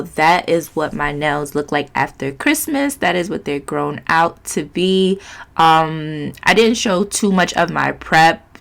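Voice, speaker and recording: A woman with a low, gravelly voice that sounds stuffed up and nasal from a cold. She chats through her nail routine in a loose, rambling way, full of fillers. Her tone stays candid and unpolished. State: congested, sniffly, nasal. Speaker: nail vlogger. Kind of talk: casual chatty tutorial vlog.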